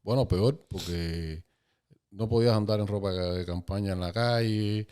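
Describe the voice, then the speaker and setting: A Cuban man in his fifties, a podcast guest calmly recalling his life story in casual conversation. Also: on the low side, clear, resonant tone. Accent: Cuban Spanish. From Cuba. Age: 50s. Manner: calm, unhurried, recalling dates and places.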